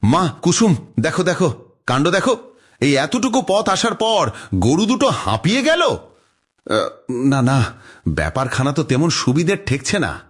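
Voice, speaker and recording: A man playing an uneasy middle-aged village cart driver in a horror cartoon, fretting and warning in a husky voice. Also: fairly high pitch, big pitch swings, a little slow, long pauses, exaggerated voice. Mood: worried. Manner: exclamatory, fretful, warning.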